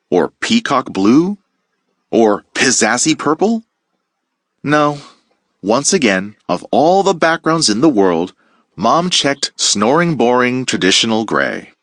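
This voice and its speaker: A man teacher reading a children's picture book aloud with playful, expressive storytelling. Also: medium pitch, slow pace, no echo, clean studio sound.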